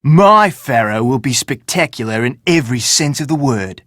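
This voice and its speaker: An actor playing a self-important, theatrical film director in an adventure game, speaking pompously with dramatic emphasis. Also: male voice, mid-range voice, lively intonation, moderate pace, fairly bright tone, no room sound, clean studio sound, close to an everyday voice. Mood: boastful. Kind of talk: scripted game character dialogue.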